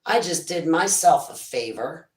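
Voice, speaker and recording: A woman with a raspy voice hosting a casual livestream, rambling through her plans and her faith. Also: low voice, animated, a little slow. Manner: rambling, faith-filled musings. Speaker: internet radio host.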